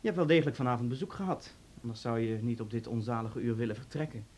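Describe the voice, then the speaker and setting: An actor in a Dutch radio play voicing a suave, talkative police officer with an urbane, polite manner and sly menace. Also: male voice, mid-range voice, fast, a little mellow, a little hiss or hum, lightly acted. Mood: smoothly pressing.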